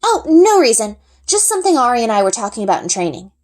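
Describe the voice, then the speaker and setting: A woman voicing an eager, secretive young girl in a storybook, talking in a bright, coaxing, emphatic way. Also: on the high side, very animated, fast, voice pushed up. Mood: eager, evasive.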